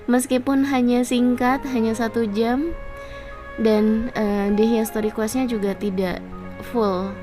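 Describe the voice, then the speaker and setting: A woman's voice: a little high, steady tone, unhurried, over music. A woman with an Indonesian accent chatting casually and politely as she livestreams a game.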